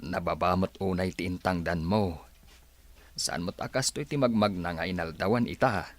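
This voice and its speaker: Man acting a deep-voiced, underpaid farmhand. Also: moderate pace. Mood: complaining. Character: poor, underpaid farm labourer and family father. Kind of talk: Filipino radio drama acting.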